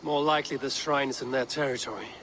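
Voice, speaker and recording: An actor playing an honorable, plain-spoken Viking warrior in a video game, earnest and gently teasing. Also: male voice, mid-range voice, steady tone, fast, a little hiss or hum, natural voice. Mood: steady. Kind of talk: dramatic medieval video game dialogue.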